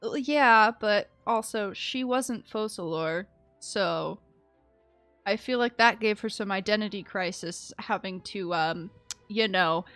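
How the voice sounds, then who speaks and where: A woman's voice: a little high, pushed and bright. A woman streaming a game with casual, chatty, giggly and playfully dramatic commentary.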